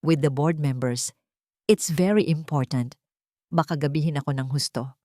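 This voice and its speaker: A woman's voice: low voice, normal speed, mellow, no room sound, clean studio sound, pitched below the performer's own voice, natural voice. A woman voicing an ambitious, secretive male executive in a narrated love drama, controlled and self-important. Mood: evasive.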